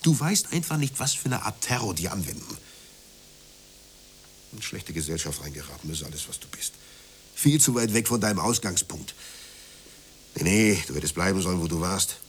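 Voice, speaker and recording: A man's voice: low-pitched, animated, moderate pace, pauses often, a little hiss or hum. An actor playing a bitter, jealous working-class man in a radio play, scornful and ranting with emphatic delivery. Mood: scornful lecturing. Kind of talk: naturalistic radio play dialogue.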